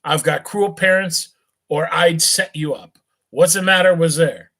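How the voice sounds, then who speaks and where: A man's voice: on the high side, a little slow, a bit bright. A man voicing a drunk, rich young man of about eighteen, slurring and rambling in a thick voice. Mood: tipsy friendly. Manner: slurring and hiccuping, lurching tipsy chatter.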